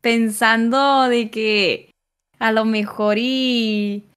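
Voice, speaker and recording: A woman's voice: a little high, steady tone, extremely slow, fairly bright tone, clean tone. A woman, answering interview questions hesitantly with many fillers. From Mexico. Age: 20s. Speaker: content creator guest.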